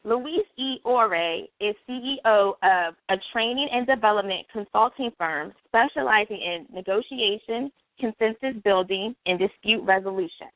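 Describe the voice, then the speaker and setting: A woman's voice: mid-range voice, no echo. Woman host on a dry phone line. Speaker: radio talk show host. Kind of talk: scripted guest introduction and interview questions.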